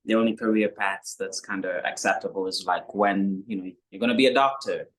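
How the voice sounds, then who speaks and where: A young man from Kenya, a musician guest, talking in a relaxed, rambling way with many fillers. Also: low-pitched, fairly quick, low-quality recording. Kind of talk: casual interview chat.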